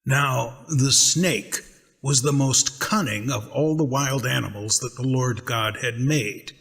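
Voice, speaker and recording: A man giving a dramatic scripture narration in a measured, deliberate manner as the reader. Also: mid-range voice, a bit bright, long reverb tail.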